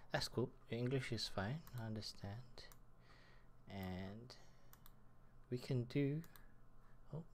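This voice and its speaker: A man in his thirties giving a casual live software tutorial from his desk, speaking through a headset and thinking aloud as he works. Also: mid-range voice, a little hiss or hum. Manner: slow, halting, muttering while working. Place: small room at a computer desk.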